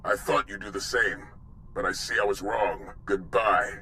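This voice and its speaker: A man's voice: lowish voice, moderate pace, sharp tone, slight background noise, poor audio. A man playing a stern, fanatical older military commander in a video game, with a grave and grandiose delivery. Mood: stern, defiant. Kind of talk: dramatic video game acting.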